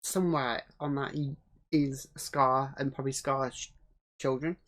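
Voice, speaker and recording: A man co-hosting a casual film review podcast, speaking quietly and often answering with short agreeing replies. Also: mid-range voice, steady tone, unhurried. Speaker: podcast co-host.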